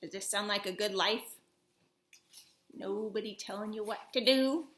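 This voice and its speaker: A woman reading a children's story aloud with theatrical, expressive emphasis in a roomy, echoing space. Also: medium pitch, measured pace. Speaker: storybook reader.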